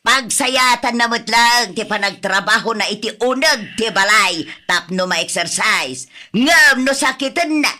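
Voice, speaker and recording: An older mother-in-law in a radio drama. Her voice is very bright and cutting, set fairly high. She nags and scolds, harsh and bossy with everyone under her roof. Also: animated, a little slow. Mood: angry, dismissive. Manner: nagging, sharp-tongued.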